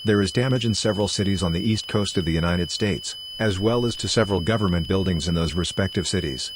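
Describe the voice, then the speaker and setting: A man playing a solemn government emergency broadcast announcer, formal and measured in delivery. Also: deep voice, close to an everyday voice. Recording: noisy with hum behind.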